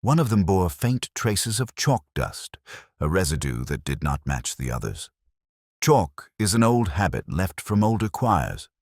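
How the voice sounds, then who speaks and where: Very deep male mystery narrator. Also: big pitch swings, unhurried, a little mellow, dry recording, clean studio sound. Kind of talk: scripted first-person detective story narration.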